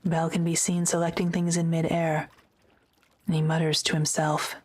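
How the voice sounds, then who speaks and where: A woman narrating an audio drama script in a measured, matter-of-fact way. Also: deep voice, monotone.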